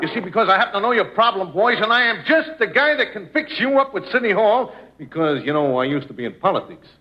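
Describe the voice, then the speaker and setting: An actor playing a shady, slangy small-time fixer with a sly, confiding manner in a 1950s radio comedy. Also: male voice, sits high, very animated, quick pace. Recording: muffled old radio recording, faint noise.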